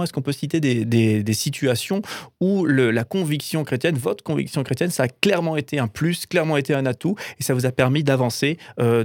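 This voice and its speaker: A man's voice: mid-range voice, vocal fry, darkish tone, dry recording. Interviewer: man, mid-range voice, dry recording, long winding questions. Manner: long rambling questions with fillers.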